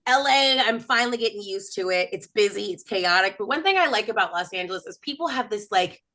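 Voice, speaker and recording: A woman's voice: mid-range voice, a little fast. A woman from Texas doing a self-mocking stand-up comedy set on a video call. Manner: chatty, self-mocking, lively storytelling.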